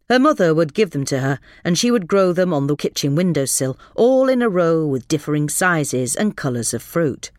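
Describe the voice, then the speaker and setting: A woman's voice: deep voice, no echo, clean studio sound. A British woman narrating a scripted magazine article aloud. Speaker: article reader.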